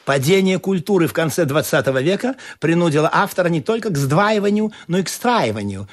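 A man narrating a comic radio play in an expressive, playfully dramatic storytelling manner. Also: on the high side, brisk, a little hiss or hum.

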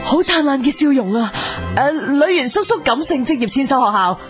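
A woman's voice: sits high, animated, fairly quick. A woman playing a bubbly, gushing quiz show host in a comedy radio drama, with an exaggerated presenter delivery in Hong Kong Cantonese. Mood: gushing, excited. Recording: music playing behind the voice.

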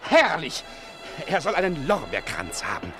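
An actor playing a pompous, capricious Roman emperor in a German radio play, theatrical and commanding. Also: male voice, a little high, huge pitch swings, a little slow, music in the background. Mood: exultant. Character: capricious, grandiose Roman emperor, swinging from rage to flattery.

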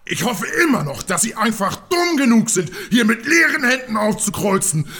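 A man's voice: high-pitched, big pitch swings, normal speed, sharp tone, heavily acted. A man playing a monstrous, man-eating tyrant boss in a comic audio drama, bombastic and menacing. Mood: gloating, threatening.

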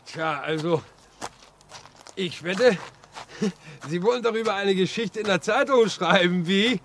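A man's voice: high-pitched, unhurried, a bit bright. A man acting in a 1950s German radio play as a talkative, good-humoured railway track worker, folksy and wry. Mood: chatty, helpful. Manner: folksy, chatty. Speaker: radio drama actor. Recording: noisy vintage 1950s radio recording.

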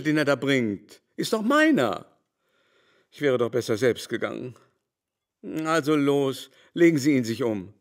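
An actor playing a fussy, imperious aging baron in a radio play, affected, theatrical and condescending. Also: male voice, medium pitch. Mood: fussy, teasing.

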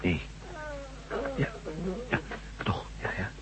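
A man acting a hospitable but wary and pragmatic, excitable friend in a radio play. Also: slow, lightly acted. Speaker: actor in a radio drama. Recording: hissy, humming radio recording.

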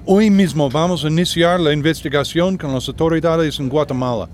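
Radio drama lawman, acted by a man: firm, commanding officer, slightly high pitch. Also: moderate pace, slight background noise, lightly acted. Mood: formal questioning. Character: firm, authoritative male US federal officer.

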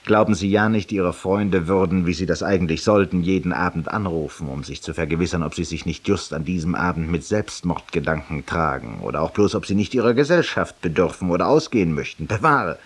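Deep, husky, smug barroom confessor. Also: male voice, a little fast, hardly any pauses. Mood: sardonic. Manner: eloquent, urbane, ironic, addressing a silent listener. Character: self-satisfied, confessional middle-aged male barroom raconteur. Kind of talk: theatrical radio drama monologue.

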